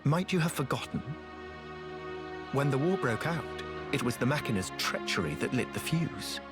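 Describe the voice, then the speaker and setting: An actor playing an unctuous, scheming soldier in a video game, speaking in a flowery, obsequious, archaic formal way. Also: male voice, medium pitch, animated, moderate pace, music in the background, natural voice. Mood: scornful. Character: fawning male soldier, unctuous and scheming courtier.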